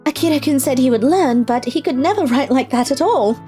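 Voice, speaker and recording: A woman playing a youthful, expressive schoolgirl in scripted animated dialogue. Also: sits high, fairly quick. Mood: teasing. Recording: music behind the voice.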